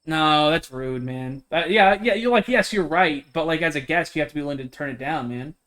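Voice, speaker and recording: Higher-pitched man in blunt, slangy stream banter. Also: a little fast, fairly bright tone. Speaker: video game streamer. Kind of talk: casual live-stream game chat, reading dialogue aloud.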